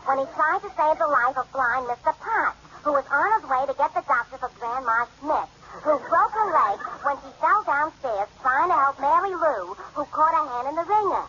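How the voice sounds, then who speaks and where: A woman's voice: high voice, expressive, normal speed, few pauses, bright, forceful tone. An actress in a 1940s radio comedy playing a brash young woman who makes sarcastic cracks and gossips on the telephone. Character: brash, chatty young woman hogging the phone. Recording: muffled old radio broadcast, heavy hiss.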